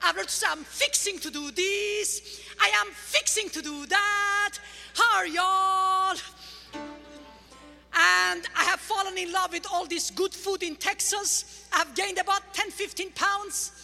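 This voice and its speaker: A woman with an Armenian accent, a guest speaker giving a lively, humorous church testimony, heard in a large church. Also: extremely high pitch, measured pace, vocal fry. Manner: lively, humorous, teaching the crowd. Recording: large church hall reverb with faint music.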